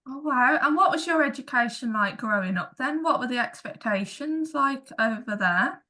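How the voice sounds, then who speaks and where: A woman's voice: high voice, expressive, normal speed, pushed and bright. A woman interviewer asking casual questions and reacting to her guest in conversation.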